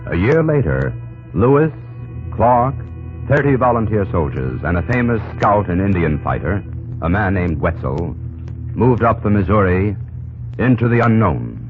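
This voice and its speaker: An actor as the solemn narrator of an old-time radio history drama, emphatic and portentous. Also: male voice, fairly low pitch, big pitch swings, over music. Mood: grave. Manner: emphatic, portentous, deliberate phrasing. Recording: tinny old radio recording.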